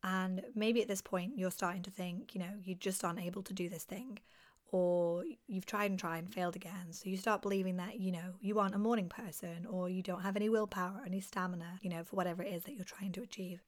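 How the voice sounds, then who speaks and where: A woman hosting a motivational self-help podcast, her voice husky and half gone as she loses it, talking in an encouraging way. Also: on the low side, fairly even intonation, fast talker. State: losing her voice. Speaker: podcast host.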